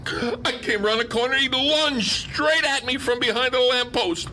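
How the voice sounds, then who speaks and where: A man's voice: extremely high pitch, moderate pace, bright, forceful tone, slight background noise. A man playing a frightened killer caught red-handed in a radio play, stammering and melodramatic. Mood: frantic pleading.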